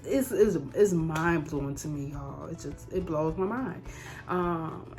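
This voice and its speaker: Female vlogger, thirties, low register, candid and conversational with emphatic repeats, background music. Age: 30s. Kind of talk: casual personal vlog to camera.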